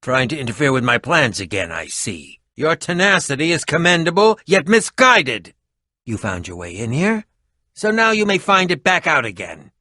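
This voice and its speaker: Haughty scheming video game rival, hot-tempered, melodramatic, hugely swooping intonation, forceful edge, dry studio sound. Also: male voice, on the high side, very animated, moderate pace, dry recording. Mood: haughty disdain. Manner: haughty, sneering, melodramatic, grandiose phrasing. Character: pompous, theatrical, hot-tempered scheming potion-brewing man, bitter rival. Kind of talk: animated video game voice acting.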